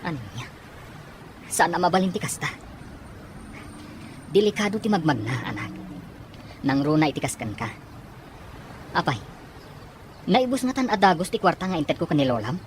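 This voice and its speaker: A man's voice: fairly high pitch, very animated, moderate pace, long pauses, noisy background. A man acting a concerned, protective father in an Ilocano radio drama. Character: concerned, indignant father of a schoolgirl.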